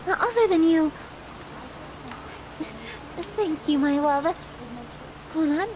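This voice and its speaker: A woman's voice: sits high, takes it slowly, a little mellow, slight background noise, low-quality recording. A woman playing a possessive, sadistic yandere girlfriend in an ASMR roleplay, doting and cutesy with theatrical affection. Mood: sweet, weary. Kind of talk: ASMR roleplay, scripted monologue to the listener.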